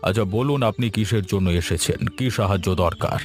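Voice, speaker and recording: A man's voice: on the low side, a little fast, soft background music, natural voice. A man voicing a righteous, helpful village headman in a fairy-tale cartoon, assured and authoritative. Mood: obliging.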